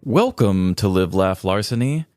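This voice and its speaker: A man's voice: sits low, lively intonation, measured pace, background noise. A man co-hosting a podcast, chatting in a casual, joking style. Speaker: podcast co-host. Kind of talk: casual comedic banter.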